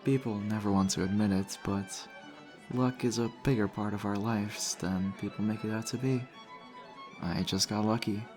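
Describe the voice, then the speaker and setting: A man's voice: on the low side, steady tone, normal speed, lightly acted. A man playing a charming, teasing vampire host in an intimate, conversational roleplay style. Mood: modest, courteous. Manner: flirtatious, suave, conversational. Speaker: audio roleplay voice actor. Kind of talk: intimate ASMR roleplay acting. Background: background music.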